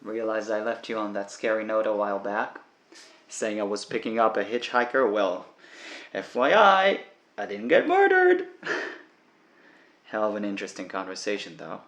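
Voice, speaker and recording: Haunted, gravelly low-voiced man confessing. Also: sing-song, fairly bright tone, exaggerated voice. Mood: awkward, reassuring. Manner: halting, brooding, confessional. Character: haunted, troubled man confessing dark murderous thoughts. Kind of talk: audio drama monologue.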